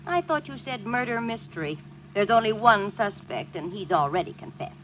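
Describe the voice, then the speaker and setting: An actress playing a wisecracking, mock-complaining wife in an old-time radio mystery. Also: female voice, medium pitch, animated, moderate pace, a bit bright, muffled recording, close to an everyday voice. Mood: wry. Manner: dry, teasing, mock-complaining. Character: wisecracking sleepy wife of a newspaper editor. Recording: hissy old radio recording.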